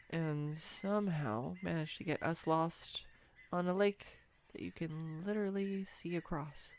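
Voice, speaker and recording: An unhurried, fairly low voice for a woman acting as an awkward young woman on a date. She is earnest, apologetic and sweetly self-deprecating, speaking intimately to one listener in a romantic audio roleplay. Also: a little mellow. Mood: sheepish, grateful.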